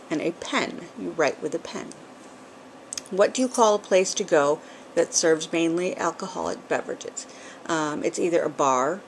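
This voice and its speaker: A woman's voice: low voice, vocal fry, slight background noise, rough recording. Chatty middle-aged New England woman. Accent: New England, with some Southern. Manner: chatty, rambling, with frequent ums and small laughs. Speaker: vlogger. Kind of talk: casual chat. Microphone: camcorder built-in mic.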